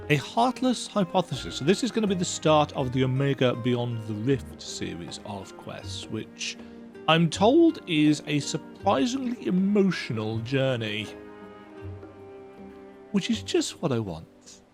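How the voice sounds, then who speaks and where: A man giving rambling, chatty commentary as he plays through a video game. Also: medium pitch. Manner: rambling, self-interrupting, chatty. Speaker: gaming YouTuber. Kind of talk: casual game playthrough commentary.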